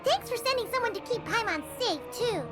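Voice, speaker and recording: Squeaky tiny fairy companion, very childlike. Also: female voice, animated, fairly quick, over music. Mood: excited, cheerful. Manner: refers to self in third person, exclaiming. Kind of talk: anime video game voice acting.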